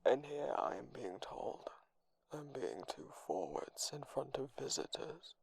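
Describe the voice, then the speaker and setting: A man voicing a dry, deadpan computer boyfriend who speaks formally and measuredly in an ASMR roleplay. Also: medium pitch, vocal fry, slightly rough, no room sound, pitched below the performer's own voice, exaggerated voice. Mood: deadpan, explanatory. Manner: flat emotional delivery, measured, formal.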